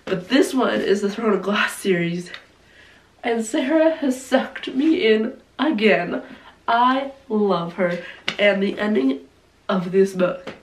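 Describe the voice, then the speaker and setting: A hoarse young woman chatting casually about her favorite books, gushing and saying "like" often, with a cough now and then. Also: mid-range voice, lively intonation, takes it slowly. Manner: gushing, heavy use of "like". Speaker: book vlogger. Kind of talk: casual book review chat. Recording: roomy, distant mic, faint noise.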